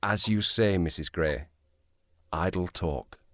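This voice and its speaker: An actor playing a courteous, reserved police sergeant in a period audio drama, speaking in a formal, measured manner. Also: male voice, deep voice. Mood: polite, guarded.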